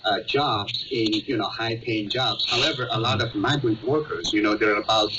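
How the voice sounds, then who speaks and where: Male radio reporter guest, lowish voice, halting explanations, dull distant shortwave sound. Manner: halting, repeats and rephrases as they explain. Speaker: news reporter as panel guest. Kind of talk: live radio interview commentary. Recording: muffled shortwave radio, heavy static, distant. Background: loud shortwave static.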